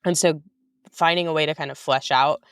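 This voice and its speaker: A woman's voice: low voice, fairly even intonation, a little fast, clear, resonant tone, no room sound, clean studio sound. A woman answering as an interview guest in casual, thoughtful talk, often rephrasing and circling back as she goes. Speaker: interview guest, writer of audio fiction.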